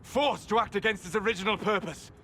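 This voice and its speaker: A man playing a solemn, learned elven mage in a video game, with a measured, formal and precise way of speaking. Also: sits high, bright, forceful tone, slight background noise. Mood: anguished fury. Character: elven mage, male, solemn, learned, fiercely protective of his friend. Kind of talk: video game cutscene voice acting.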